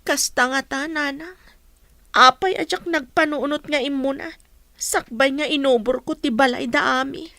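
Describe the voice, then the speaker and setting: A woman's voice: sits high, moderate pace, pushed and bright, no echo. A woman with a Filipino accent playing a sulky, envious young daughter in a radio drama.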